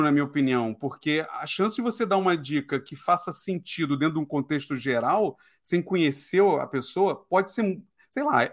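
Brazilian male podcast host, emphatic and opinionated, voice sits a bit high. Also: a bit bright. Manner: opinionated, emphatic, keeps asking "né?". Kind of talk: casual podcast discussion.

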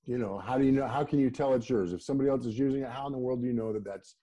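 Mellow-voiced man hosting a podcast. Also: medium pitch, racing, highs cut off. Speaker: podcast host. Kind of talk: casual podcast interview.

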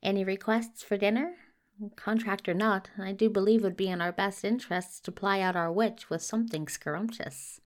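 Lady doing a prim fussy gentleman hero, polite n wordy, mid pitch, audio drama. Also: fairly even intonation, normal speed, close to an everyday voice. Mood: cheerful, fussy. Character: fussy, courteous, genteel male hero. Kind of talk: acted fiction podcast dialogue.

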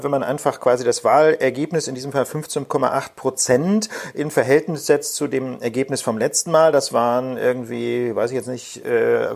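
A man from Berlin with a somewhat husky voice at a middle pitch explains election figures step by step. He hesitates often with fillers, in the easy manner of a podcast co-host. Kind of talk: casual political podcast talk.

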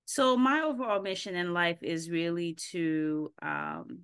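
Female guest raised in Haiti, smooth lower voice, pauses to think mid-sentence. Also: fairly bright tone. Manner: thoughtful, searching, many ums. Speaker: podcast guest. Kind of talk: casual interview answers. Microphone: video call.

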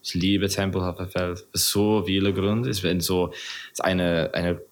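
Podcast guest: low-pitched man, English accent, non-native, casual and halting. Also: flat delivery. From England. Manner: halting, non-native phrasing with frequent searching for words. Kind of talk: casual interview chat.

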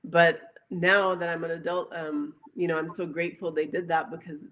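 A woman speaking as an interview guest in casual chat, pausing often and letting phrases trail off. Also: low voice, little pitch movement, a bit bright.

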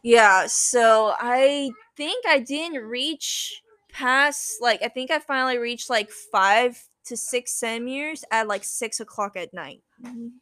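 Young female guest, fairly high voice, informal anecdotal delivery full of "like" and quoted lines, dry sound. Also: slow, sharp tone, no echo. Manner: chatty, peppers speech with "like" and quoted dialogue. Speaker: guest telling her birth story. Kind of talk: casual conversational storytelling.

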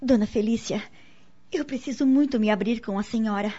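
A woman's voice: fairly high pitch, moderate pace, warm tone, close to an everyday voice. A woman with a Brazilian accent playing an anxious, insecure wife in a radio soap opera, earnest and formal in her melodramatic delivery. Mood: anguished confession. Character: anxious, insecure young wife and mother, pleading. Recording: dull lo-fi radio drama, music underneath.

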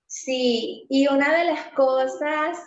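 A woman being interviewed in a casual conversation, animated and emphatic, slowing down to stress her points and laughing easily. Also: high voice. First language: Spanish. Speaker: interview guest, pastry entrepreneur. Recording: roomy, slightly dull sound.

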